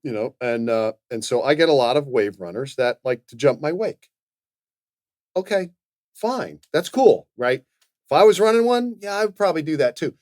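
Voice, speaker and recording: Podcast guy handing out casual advice, medium pitch, bit halting, repeats himself, dry room. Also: animated, darkish tone, no room sound. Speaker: podcast co-host.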